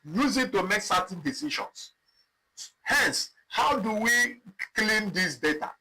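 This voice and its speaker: A man teaching a live online spreadsheet lesson, talking slowly and repeating himself as he clicks through data. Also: sits high, lively intonation, pushed and bright, a little hiss or hum, poor audio. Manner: slow, repetitive, thinking aloud while clicking. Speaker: instructor. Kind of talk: live online software tutorial.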